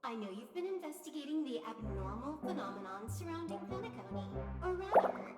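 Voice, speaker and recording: A young female hacker in a video game with a high, very animated voice. She sounds smug and teasing, as if toying with her listeners. Loud music plays under her. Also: a little fast. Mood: smug, playful. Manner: playful, smug, taunting. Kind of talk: scripted video game dialogue. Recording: roomy echo with music underneath.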